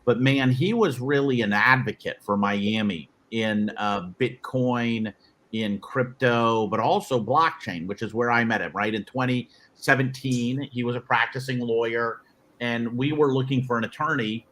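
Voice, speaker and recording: A man's voice: mid-range voice, measured pace, a bit bright. A man hosting a webinar panel, talking loosely with many restarts. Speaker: webinar host, moderator. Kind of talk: casual panel moderation.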